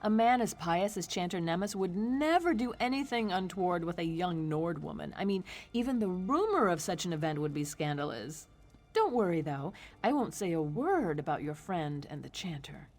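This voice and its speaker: Woman doing a nosy town gossip npc, husky lowish voice, coy and insinuating, light music behind. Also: very animated, moderate pace, a little mellow. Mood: mock innocent. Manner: coy, conspiratorial. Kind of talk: game dialogue acting.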